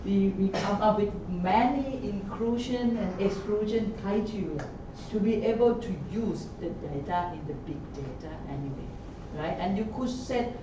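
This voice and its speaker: A woman's voice: lowish voice, noisy background. A woman panelist at a conference discussion who explains at length, often checking in with "right?". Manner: rambling explanation that keeps asking "right?". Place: conference room. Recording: echoey, dull lecture-hall sound from a distant mic.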